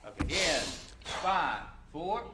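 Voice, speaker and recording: A man's voice: on the high side, big pitch swings, takes it slowly. A man working as studio crew, giving cues and short explanations off camera. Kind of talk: off-camera cueing. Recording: noisy studio ambience.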